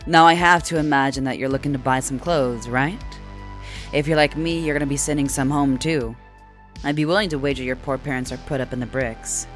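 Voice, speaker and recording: A woman's voice: extremely low pitch, a little fast, soft background music, pitched below the performer's own voice. A woman voicing a brash, laid-back female furred alien from a story, with blunt, casual, teasing slang.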